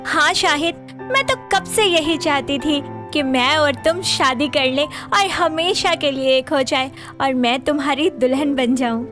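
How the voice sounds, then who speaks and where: A woman voicing a vulnerable, loving young bride in an animated melodrama. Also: high voice, unhurried. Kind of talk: animated story voice acting. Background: background music.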